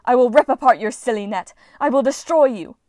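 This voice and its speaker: Storybook goddess, female, furious, grandiose, shrill, booming, dry sound, woman narrator voicing her. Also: moderate pace, dry recording, close to an everyday voice. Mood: outraged.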